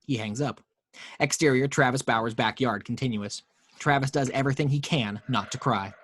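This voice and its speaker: A man's voice: mid-range voice, normal speed, fairly bright tone, no echo. A man narrating the action lines of a screenplay at a home table read, reading steadily with small stumbles on a close microphone.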